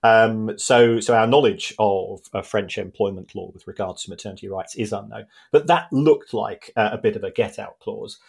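A man co-hosting a casual football podcast, speaking in halting phrases full of restarts, with measured indignation. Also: on the low side, husky. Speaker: podcast co-host.

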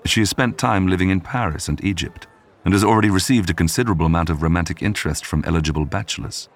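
A man narrating a history podcast from a script in a measured storytelling manner. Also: sits low. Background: music.

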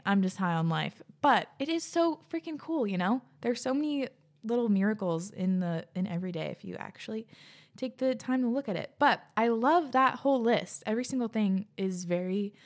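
A young woman hosting a podcast, chatting casually and gushing over listener submissions. She talks in a bubbly way, says "like" a lot, and sounds a little out of breath. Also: on the high side. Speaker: podcast host.

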